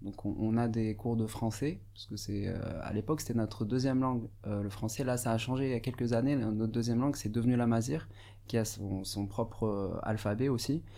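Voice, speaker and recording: A man's voice: medium pitch, steady tone, brisk, gravelly, warm tone. A young man from Morocco whose first language is Arabic, speaking as a radio guest in a casual, hesitant, thoughtful way with many fillers and restarts. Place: radio studio.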